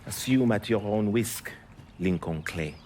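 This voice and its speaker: A man playing a bitter, defiant Haitian smuggler and former priest in a video game, with a Haitian accent and a mocking, guarded, deliberate delivery. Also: fairly low pitch, expressive, a little mellow, slight background noise. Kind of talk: video game cutscene dialogue.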